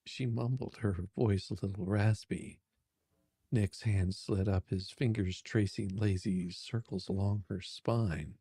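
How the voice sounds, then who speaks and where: A man narrating a steamy romance in drawn-out, amused phrases on a close microphone. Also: on the low side, fairly even intonation, warm tone, husky, dry recording. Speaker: narrator and reader. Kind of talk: dramatic reading of a steamy romance.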